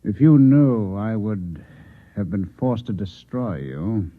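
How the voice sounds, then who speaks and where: A man playing a coolly menacing Martian infiltrator posing as a newspaper man in an old-time radio drama, measured, formal and insinuating. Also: lowish voice, animated. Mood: cool menace. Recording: echoey, phone-like old radio drama recording.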